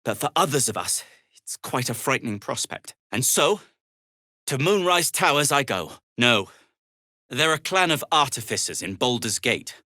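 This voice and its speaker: A man's voice: medium pitch, expressive, normal speed, bright, forceful tone, dry recording, studio quality. A male actor playing a world-weary, prim gnome in a video game, wry and exasperated, with formal wording. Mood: grave, worried. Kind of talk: video game character dialogue.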